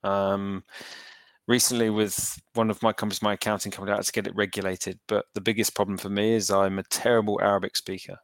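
A man's voice: on the low side, level tone, fast, dry recording. A man, an entrepreneur from the UK, giving thoughtful interview answers with frequent fillers and restarts. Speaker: entrepreneur guest.

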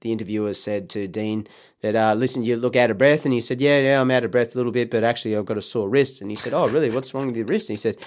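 A man's voice: mid-range voice, fast. A man co-hosting a crude, joking podcast about sport and racing. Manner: swearing, joking, laughing often. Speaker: podcast co-host. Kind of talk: crude casual banter.

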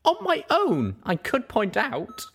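A man voicing a young female unicorn, earnest and guilt-ridden, speaking in a high pleading voice. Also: wildly swooping pitch, slow pace, gravelly, a bit bright, breathy edge, heavily acted. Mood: defensive.

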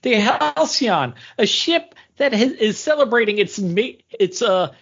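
A man's voice: high voice, animated, measured pace, a bit bright, husky. A man hosting a podcast in casual conversation, recorded with dull lo-fi sound. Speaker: podcast host. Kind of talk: casual chat.

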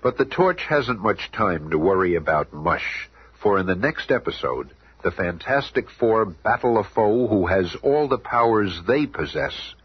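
Deep, dramatic old radio serial narrator. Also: male voice, lively intonation, a little slow, husky, dry recording. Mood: grave, dramatic. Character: grave, melodramatic superhero serial narrator. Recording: dull old radio recording with loud music.

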